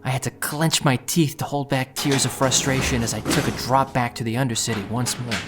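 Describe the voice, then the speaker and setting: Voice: mid-range voice, fairly even intonation, fairly quick, loud music behind the voice, lightly acted. An actor playing an anxious, self-doubting, idealistic young thief who narrates their own story earnestly and intensely in an audio drama. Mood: earnest, self-mocking.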